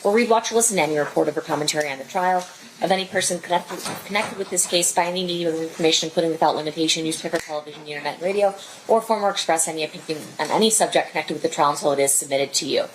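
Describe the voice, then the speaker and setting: Woman, judge, rapid-fire formal recitation, rote, low-pitched, faint background noise. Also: poor audio. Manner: brisk, rote delivery. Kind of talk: formal courtroom admonishment, recited. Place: courtroom.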